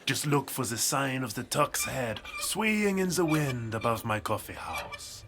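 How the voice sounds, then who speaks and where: An actor playing an eccentric, boastful coffee seller from abroad, speaking broken English with a foreign accent. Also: male voice, mid-range voice, sing-song, a little slow, background noise. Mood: playful. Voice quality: gravelly, theatrical, laughing. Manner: grand salesman patter with broken English.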